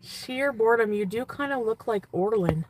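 A giggly young woman hosts a casual livestream from inside a parked car at night. Her voice sits a little high and swings widely, and she chats loosely with her guest. It is picked up by a phone mounted in the car. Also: lively intonation, moderate pace, slight background noise, rough recording. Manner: giggly, chatty, reads viewer comments aloud. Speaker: livestream host.

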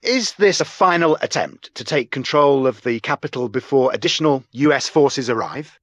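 A man's voice: mid-range voice, moderate pace, a bit bright, a little hiss or hum, close to an everyday voice. An actor playing a formal male television news anchor in a video game, reading a scripted bulletin. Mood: urgent.

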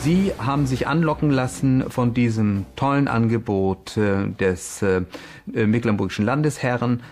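A man's voice: mid-range voice, normal speed, a little mellow, a little hiss or hum. A man speaking off the cuff as an expert, explaining things in an interview. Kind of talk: spontaneous explanatory interview talk.

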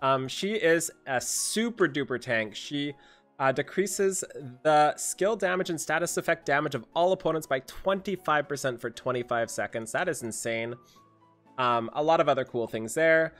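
Higher-pitched excitable young man, casual gaming commentary. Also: normal speed. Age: young adult. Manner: excitable, repetitive, hyperbolic. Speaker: gaming video host and streamer. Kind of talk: casual game update commentary. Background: music.

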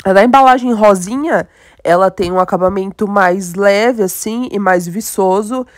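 A woman's voice: mid-range voice, normal speed, no echo, studio quality. A young Brazilian woman with a stuffy, nasal voice from a cold, chatting enthusiastically to viewers during a makeup tutorial. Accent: Brazilian Portuguese. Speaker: beauty vlogger. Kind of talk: casual makeup tutorial chat.

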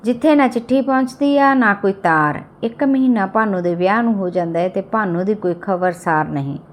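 A woman's voice: mid-range voice, normal speed. A woman reading a scripted, sad family story as its narrator.

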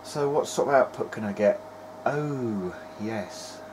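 A man's voice: on the low side, animated, a little mellow. Man muttering at a noisy workbench. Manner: muttering to himself in short, halting remarks. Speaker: hobbyist presenter of a video diary. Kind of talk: casual running commentary while testing electronics. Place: small cluttered indoor electronics workbench. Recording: clear background noise in workshop.

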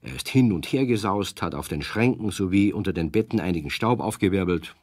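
Low-voiced male storybook narrator. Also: expressive, fairly quick, a little mellow, no echo, clean studio sound.

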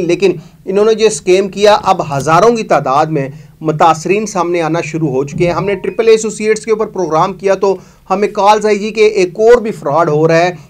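A man, hosting a news show and giving emphatic, accusatory commentary to camera in a studio. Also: high voice. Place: studio with green screen.

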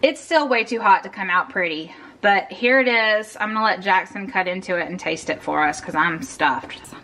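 Bright, chatty woman cooking at home. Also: mid-range voice, normal speed. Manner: chatty, keeps addressing viewers as y'all. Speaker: cooking vlogger. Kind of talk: casual cook-along narration. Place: home kitchen, indoors.

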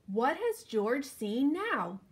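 A woman in her thirties giving an expressive picture-book read-aloud, speaking directly to young children. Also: high-pitched, slow, husky. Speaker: children's librarian reading at story time. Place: indoors, living room at home.

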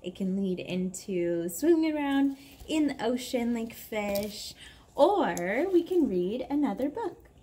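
A young woman speaking to a small child in a gentle, drawn-out way, as in a story time for toddlers. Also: fairly high pitch, sing-song. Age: young adult. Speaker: parent reading to a toddler. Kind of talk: singing and story reading for toddlers. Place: covered backyard patio, outdoors. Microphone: camera at about arm's length. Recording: clear background noise outdoors.

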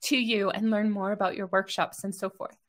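A middle-aged American woman hosting an interview, chatting and telling stories in an animated way with asides. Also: mid-range voice, fairly quick. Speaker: host interviewing a guest. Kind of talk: conversational interview and personal storytelling. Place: home office, over a video call.

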